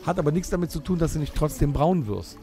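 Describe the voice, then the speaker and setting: A man's voice: on the high side, animated, quick pace, over music. A man in his forties, a podcast host, bossily lecturing his friends in an improvised comedic audio play. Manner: know-it-all lecturing, bossy.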